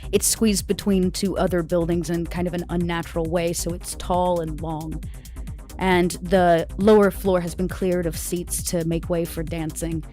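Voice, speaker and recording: A woman playing a tabletop game, describing a scene in a measured, composed way. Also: on the low side, mellow.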